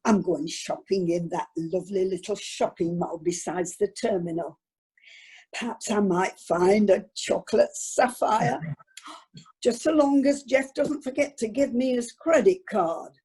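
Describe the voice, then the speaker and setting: A woman playing a spoilt, wheedling wife with a husky voice in an amateur radio comedy. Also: mid-range voice, measured pace, warm tone. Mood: smug, teasing. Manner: gushing, pointed, complaining.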